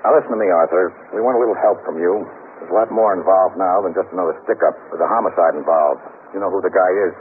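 A narrow, noisy old radio recording carries a man acting a hard-nosed American police lieutenant. His voice is deep and his delivery fast, blunt and pressing, in 1950s crime drama style. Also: natural voice. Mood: stern, pressing.

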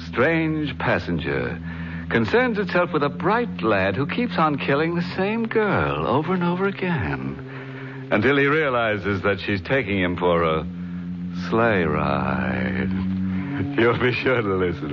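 An actor playing a sardonic, ghoulishly gleeful horror host in tongue-in-cheek 1950s radio style, drawling with mock cordiality. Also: male voice, on the low side. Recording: muffled vintage radio, music behind.

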